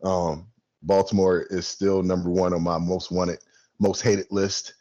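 A man's voice: deep voice, level tone, measured pace, mellow, highs cut off. A man co-hosting a casual sports podcast, talking in a laid-back, wry and joking way. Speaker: podcast co-host.

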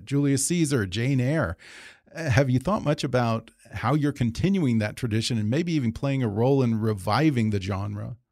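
A man's voice: fairly low pitch, darkish tone, no room sound, clean studio sound. A man hosting an interview, asking questions in a conversational style. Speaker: interviewer, host.

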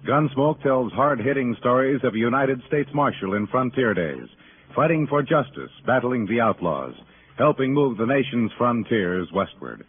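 A man's voice: mid-range voice, lively intonation, normal speed, a little hiss or hum. Emphatic, swooping vintage male radio announcer. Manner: polished, emphatic, formal. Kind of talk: 1950s radio announcing, scripted promo. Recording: muffled old radio broadcast with music.

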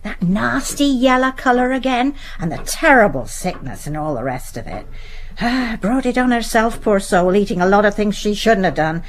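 An actress playing a middle-aged English house servant, chatty, homely and deferential, in a radio drama with an English accent. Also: female voice, medium pitch, lively intonation, moderate pace, a little hiss or hum, close to an everyday voice. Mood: confiding, respectful.

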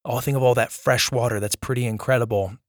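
Male kids' podcast host, mid-pitched, informal and upbeat, voice a bit worn, close dry recording. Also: fairly even intonation, quick pace, dry recording. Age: adult, father of young children. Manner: enthusiastic, chatty, informal. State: strained voice, recovering from nearly losing it. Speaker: host and storyteller of a children's podcast. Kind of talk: casual podcast outro chat to young listeners.

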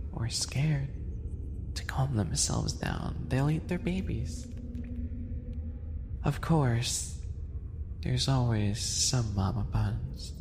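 Sadistic cafe animal boy, acted by a man: measured, softly menacing roleplay delivery, very unhurried, heavy reverb. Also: medium pitch, vocal fry. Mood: soft menace. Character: sinister animal-boy cafe maid, composed, sadistic experimenting cook. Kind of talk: roleplay ASMR acting. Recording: reverberant, noticeable background noise.

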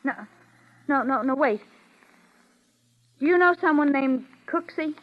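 A woman's voice: sits high, unhurried, slight background noise. A woman playing a guarded, nervy young impostor hiding a crime, with tense control, in an old-time radio drama. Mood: challenging, alarmed. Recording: muffled old radio recording.

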